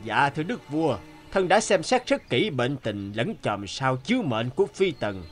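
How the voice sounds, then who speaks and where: Actor as solemn cartoon royal sorcerer, deferential, measured, music underneath. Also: male voice, mid-range voice, very animated. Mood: grave. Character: grave, scheming male court sorcerer.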